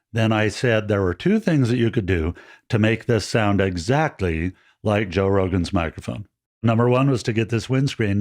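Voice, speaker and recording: Deep-voiced man explaining audio settings. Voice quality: fairly deep voice. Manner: relaxed, chatty explaining with small jokes. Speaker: audio gear tutorial host. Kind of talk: friendly step-by-step tutorial. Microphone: dynamic handheld-style studio mic, no EQ.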